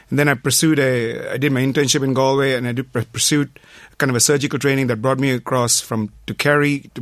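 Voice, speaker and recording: Middle-aged man, from Malaysia, doctor guest, hesitant, modest, medium pitch, dry close sound. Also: no room sound. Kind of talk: casual radio interview.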